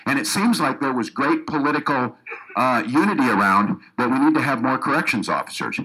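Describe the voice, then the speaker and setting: A man's voice: medium pitch, expressive, fairly quick, bright, forceful tone, slightly rough, poor audio. A man giving an earnest campaign speech to a room of educators, often restarting his sentences. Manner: earnest, repeats himself with restarts. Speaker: political candidate. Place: meeting room with audience.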